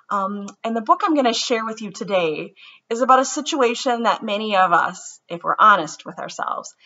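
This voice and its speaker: A woman giving a gentle, reflective introduction, then reading a children's book aloud. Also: mid-range voice. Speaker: read-aloud presenter. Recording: slightly muffled recording.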